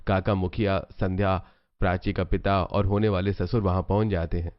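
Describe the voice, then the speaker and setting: A man's voice: low-pitched, flat delivery, normal speed, slight background noise. A man reading scripted narration for a story as its narrator. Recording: dull sound under loud music.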